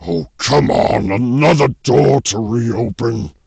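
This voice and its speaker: Gravelly villain henchman, monstrous and theatrical, very drawn-out, swinging delivery for audio drama. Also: medium pitch, lively intonation, a little mellow. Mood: triumphant. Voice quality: raspy, gravelly growl. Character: gloating villainous monster henchman commanding putty warriors.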